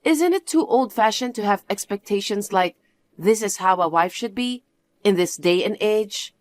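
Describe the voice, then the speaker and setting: A woman's voice: mid-range voice, lively intonation, normal speed, close to an everyday voice. Woman as a wronged young wife. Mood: firm. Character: young working wife, composed but wronged. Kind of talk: one-voice drama reading.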